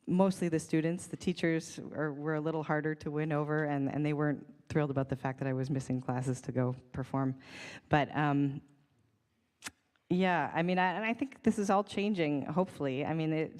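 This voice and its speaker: A woman on a music panel talking through her conservatory experience, with halting phrasing. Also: low-pitched, darkish tone, long reverb tail. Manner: halting, laughs often. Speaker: panelist and performer. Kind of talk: panel discussion answers. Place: symposium hall.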